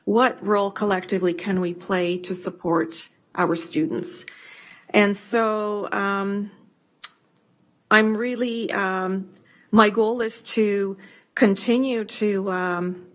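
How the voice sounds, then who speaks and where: A middle-aged woman, a school board trustee, introducing herself at a board meeting in a measured, reflective way with some hesitations. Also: medium pitch, steady tone.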